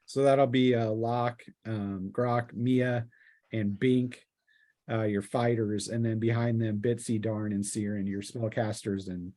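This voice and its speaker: A man's voice: lowish voice, steady tone, mellow. Hesitant man running a tabletop game. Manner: halting, hesitant, pausing mid-sentence. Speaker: game master. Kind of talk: improvised tabletop roleplaying narration.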